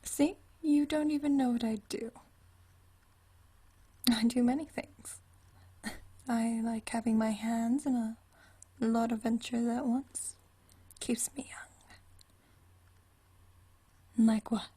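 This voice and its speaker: A woman playing an ageless, genteel female vampire in an ASMR roleplay, soft and breathy, teasing her friend with languid, dry wit. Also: fairly high pitch, fairly even intonation, slow pace, long pauses, full character voice. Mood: languid, amused. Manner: teasing, dryly witty, languid, genteel turns of phrase. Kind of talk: ASMR roleplay, intimate one-sided conversation.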